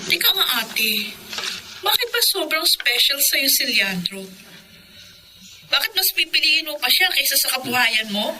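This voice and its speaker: Nagging younger sister, very bright high voice, Filipino accent, exasperated, radio drama, faint noise. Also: female voice, big pitch swings, a little slow, long pauses, heavily acted, rough recording. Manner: sharp, nagging, questioning. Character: exasperated younger sister scolding her older sister.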